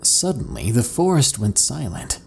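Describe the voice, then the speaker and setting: A man reading first-person horror stories in a slow, hushed, dramatic storytelling manner. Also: medium pitch, wildly swooping pitch, gravelly, a little hiss or hum. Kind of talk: scripted horror narration. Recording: faint music underneath. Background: soft background music.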